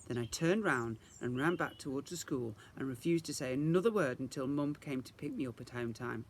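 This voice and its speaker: A man reading a children's story aloud as a teacher would, in an expressive narrating style. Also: mid-range voice, brisk, a little hiss or hum.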